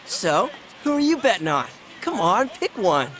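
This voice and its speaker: An excitable, wildly animated young buddy. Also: male voice, high-pitched, unhurried, heavily acted. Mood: eager. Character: excitable, naive young male bar buddy. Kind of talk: video game voice acting. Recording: music playing behind the voice.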